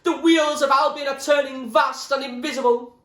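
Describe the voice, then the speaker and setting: A man's voice: very high-pitched, fairly even intonation, moderate pace, sharp tone, reverberant, pitched above the performer's own voice. A man voicing a wild-eyed madman in a storybook, ranting feverish prophetic nonsense. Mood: frantic ranting.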